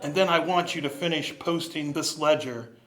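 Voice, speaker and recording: A man's voice: on the high side, normal speed, crowd noise, lightly acted, poor audio. A man acting a grumpy, miserly old businessman in an old-time radio drama, scolding and full of contempt. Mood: stern, demanding.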